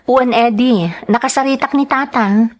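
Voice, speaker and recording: A woman's voice: on the high side, moderate pace, close to an everyday voice. A woman with a Filipino accent playing a troubled mother working abroad in an online audio drama. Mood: worried.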